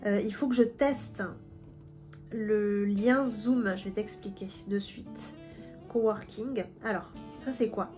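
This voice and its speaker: A woman's voice: mid-range voice, fairly even intonation, takes it slowly, dark tone, faint music behind. Chatty woman with a head cold. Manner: chatty, many fillers and restarts. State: stuffy, has a cold. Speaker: vlogger and independent language teacher. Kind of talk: casual vlog chat.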